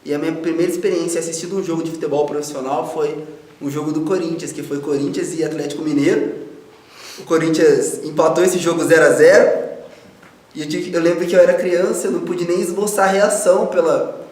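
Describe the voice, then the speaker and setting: A man's voice: on the high side, steady tone, brisk, a little mellow. A Brazilian man in his twenties answering interview questions casually, reflective and searching for words. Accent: Brazilian Portuguese. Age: 20s. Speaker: guest. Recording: very echoey room.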